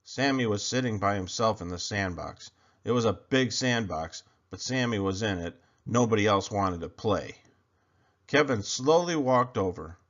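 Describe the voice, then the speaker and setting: A man narrating a children's picture book aloud in an expressive storytelling style. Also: fairly low pitch, moderate pace, lo-fi sound.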